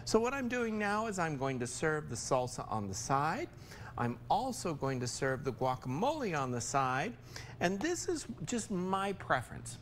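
An older man with an American accent hosts a cooking demonstration in an informal, chatty way. His pitch moves around in a lively way as he explains each step. There is faint noise in the studio kitchen behind him. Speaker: TV chef, cooking segment host.

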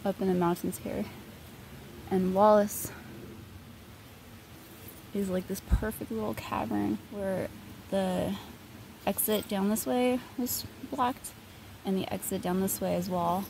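A woman's voice: mid-range voice, fairly even intonation, slow, vocal fry, long pauses, background noise. A woman vlogging on a forest hike, musing as she points out spots on a map. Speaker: hiker vlogger. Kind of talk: casual on-trail commentary. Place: outdoors on a forest trail.

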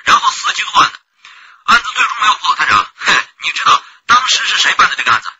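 An actress playing an eager police investigator in a radio drama, speaking with excited urgency. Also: female voice, mid-range voice, very fast, breathy edge, exaggerated voice. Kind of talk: Chinese radio drama acting. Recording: very noisy background.

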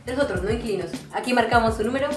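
A woman's voice: on the high side, very fast. A woman in her twenties with a Peruvian accent, chatting casually and playfully with mock seriousness as she gives a house tour. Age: 20s. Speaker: vlogger giving a house tour. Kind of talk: casual, joking house-tour chat to camera. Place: indoors, small hard-walled rooms of a house. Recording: echoey rooms on a camera mic. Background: clear background music.

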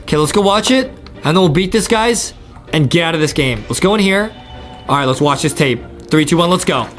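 Young man, American accent, high voice, hyped gaming host, exclamatory, faint music behind. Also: animated, fairly quick. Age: young adult. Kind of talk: excited gameplay commentary.

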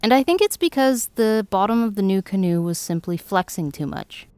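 A woman reading a wry, even voice-over for a sailing vlog. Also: mid-range voice, moderate pace, slight background noise. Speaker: sailing vlogger narrator. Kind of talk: scripted voice-over narration.

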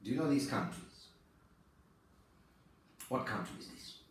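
Teacher guy in his 30s, lively up-and-down voice, patient prompting, echoey room far from the mic. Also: medium pitch, a little slow. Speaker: English teacher. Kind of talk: oral test questioning. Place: small classroom, indoors. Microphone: far camera.

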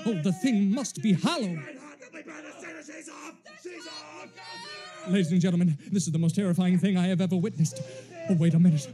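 A man acts out a frightened male radio reporter in an old-time radio play. His voice is high and soft-edged, stammering and dramatic as he describes what he sees, with faint music behind him. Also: natural voice. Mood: horrified fascination. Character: awestruck, increasingly terrified male radio field reporter. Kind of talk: 1930s radio acting, eyewitness news report.

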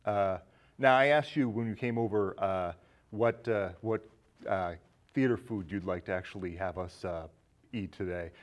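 A man's voice: lowish voice, normal speed. A man in his thirties with an American accent, hosting a casual film discussion in a studio. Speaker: talk show host. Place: small indoor studio set with curtains.

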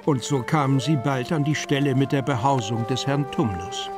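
An actor plays the storyteller of a children's fantasy radio play. He is a man with a mid-pitched voice, reading scripted narration. Music plays clearly behind him. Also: normal speed, natural voice. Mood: storytelling. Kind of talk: scripted audio drama narration.

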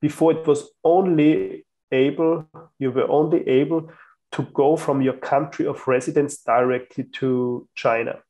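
A man from Austria talks as a guest on a remote podcast call. His voice has a gravelly, fry-laden edge, and he stumbles and restarts his sentences as he explains. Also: a little high, fairly even intonation, unhurried, mellow, low-quality recording. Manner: hesitant, many restarts. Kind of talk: casual chat. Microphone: video call.

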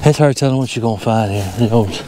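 A middle-aged man speaks with a Southern US accent in a folksy, easygoing manner at a medium pitch. He narrates casually like an outdoor vlogger on an action camera. Clear noise runs behind the voice. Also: quick pace, warm tone. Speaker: metal detecting vlogger. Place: outdoors in open farm fields. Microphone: handheld or body-worn action camera.

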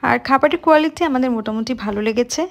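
A woman's voice: high voice, racing, vocal fry. A young woman, narrating a casual food vlog and talking straight to her viewers. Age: young adult. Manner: chatty, talks straight to viewers. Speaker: vlogger. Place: busy cafe, then a car.

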